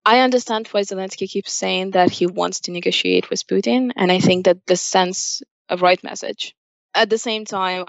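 Creaky-voiced young Ukrainian woman, lowish pitch. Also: no echo, muffled recording. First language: Russian. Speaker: interview guest. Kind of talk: spoken interview answers, personal opinion.

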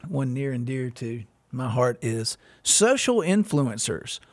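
A man's voice: medium pitch, sing-song, slow pace, no room sound. A man in his thirties, hosting a casual podcast chat with jokes and many restarts. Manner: joking, talks through ideas with restarts. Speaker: podcast host.

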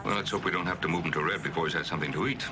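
An actor playing a genial older military commander in a measured, gently indulgent way, in television science fiction drama. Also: male voice, sits low, music in the background, natural voice. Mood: calm, gently wry. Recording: noisy TV soundtrack with ship ambience.

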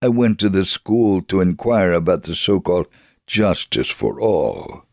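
Deep-voiced, earnest devout father. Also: animated, measured pace, vocal fry, a little mellow, no room sound, studio quality, full character voice. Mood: calm, resolute. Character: devout, steadfast Israeli father telling his life story. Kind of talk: dramatic reading of a memoir.

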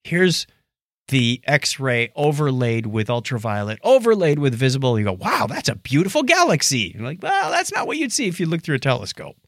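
A fast-talking man co-hosting a casual science chat, animated and given to acting out little quips. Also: medium pitch, no echo, clean studio sound. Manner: animated, acts out quips in mock voices. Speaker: podcast co-host.

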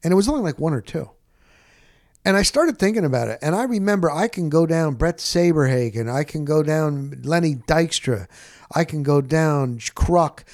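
A middle-aged man with a fairly high-set voice, recorded dry without room sound. He tells stories like an off-duty sportscaster, rambling and candid. His delivery is animated and salty, and he repeats phrases to drive them home. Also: moderate pace, no room sound. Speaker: sportscaster and podcast host. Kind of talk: casual rambling storytelling.

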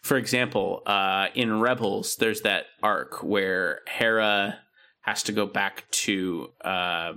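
A man's voice: lowish voice, fairly even intonation, takes it slowly, pushed and bright, clear, resonant tone. A man co-hosting a podcast, chatting casually and rambling with many fillers and restarts. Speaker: podcast co-host. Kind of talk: casual chat.

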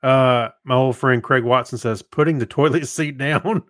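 A man's voice: mid-range voice, moderate pace, fairly bright tone, no room sound, clean studio sound. A man co-hosting a podcast, reading notes and trivia and trading wry, casual banter. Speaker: podcast co-host. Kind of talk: casual chat with listener feedback and trivia.

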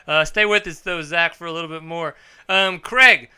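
A male podcast host with a bright, forceful voice that sits a little high. He talks loud and jokey, and his sponsor plugs come out in bursts of energy. The tone is clear and crisp. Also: normal speed. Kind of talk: casual podcast chat with ad reads.

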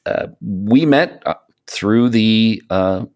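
Low-voiced man in his fifties. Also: takes it slowly, a little mellow. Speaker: podcast guest, fellow podcaster. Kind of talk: casual interview chat.

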